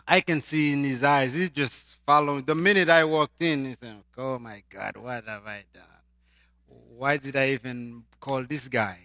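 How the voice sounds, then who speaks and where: A man from Haiti with a Haitian accent, preaching a sermon and swinging between loud emphatic lines and quiet asides. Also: medium pitch, no room sound, clean studio sound.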